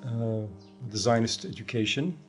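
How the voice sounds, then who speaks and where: A man from the United States whose first language is Hebrew, speaking thoughtfully and haltingly in a documentary interview. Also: medium pitch, expressive, faint music behind. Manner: thoughtful, halting, many restarts and fillers.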